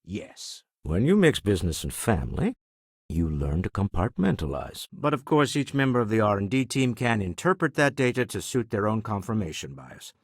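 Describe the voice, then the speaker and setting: An older businessman and explorer from a video game, shrewd, principled and wry. His voice is husky, with lively, swooping intonation. He speaks in articulate, formal phrases, recorded dry with no room sound. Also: fairly low pitch, no room sound, exaggerated voice. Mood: wry, assured. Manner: articulate, measured, dryly formal. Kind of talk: video game character dialogue.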